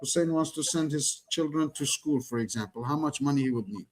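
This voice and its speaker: A man whose first language is Persian, hosting an interview and translating between guests in a quick, halting way with many fillers. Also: on the high side. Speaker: host and interpreter.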